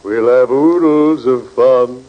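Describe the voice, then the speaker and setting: Man playing a slow, genteel, ghoulish undertaker. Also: medium pitch, close to an everyday voice. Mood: mock jolly. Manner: slow, formal, solemnly jovial delivery. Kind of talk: 1940s radio comedy acting. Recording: muffled, faintly noisy 1940s radio recording.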